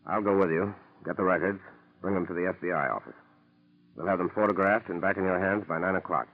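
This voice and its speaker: On a dulled vintage radio recording, an American FBI man with a lowish voice. He is terse, commanding and businesslike, in 1940s radio drama style. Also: fairly even intonation, fast, phone-quality sound. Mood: brisk, urgent. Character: brisk, commanding male FBI field agent.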